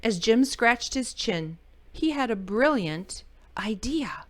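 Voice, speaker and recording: Slow, expressive woman reading storytime. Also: a little high. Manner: animated, exclaiming, dramatic emphasis for children. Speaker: library storytime host. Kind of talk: expressive picture-book read-aloud with chatty asides.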